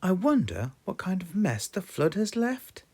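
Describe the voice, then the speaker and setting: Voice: mid-range voice, sing-song, measured pace, mellow, pitched above the performer's own voice. A performer voicing a kindly, doting old grandmother pig in a children's storybook.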